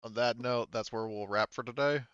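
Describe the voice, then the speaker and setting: A man's voice: mid-range voice, steady tone, a little fast, a bit bright, smooth, no room sound. A man acting as game master, casually wrapping up a tabletop roleplaying session. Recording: dry but slightly dull, lo-fi.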